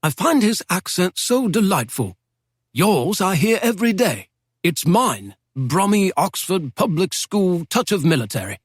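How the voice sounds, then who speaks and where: A man reads as a chatty older English professor, witty and exact, his voice lifting into a fairly high register and leaping about with animated inflection. The sound is close and dry, with no room around it. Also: measured pace, husky, no echo, heavily acted. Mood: genial. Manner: lively, playful, precise diction. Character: genial older male Oxford professor, witty and talkative.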